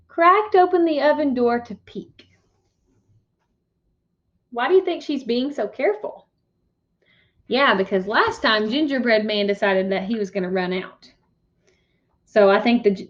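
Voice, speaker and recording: A woman with a high voice reads a children's picture book as a teacher would. She is expressive and instructive, checking in with questions. The recording is a bit dull and lo-fi. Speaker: teacher reading aloud. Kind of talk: picture-book read-aloud for young children. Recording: dull, muffled lo-fi sound.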